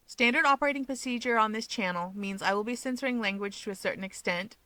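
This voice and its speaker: A woman's voice: on the high side, fast, sharp tone, no echo. A woman hosting and narrating a story in a scripted read-aloud style. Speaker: channel host and fan fiction reader.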